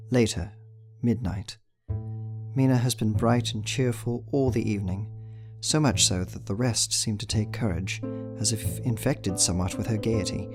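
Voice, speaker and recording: An actor playing a troubled, devoted young husband, narrating his diary solemnly in a radio play. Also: on the low side. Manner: solemn, brooding, reflective.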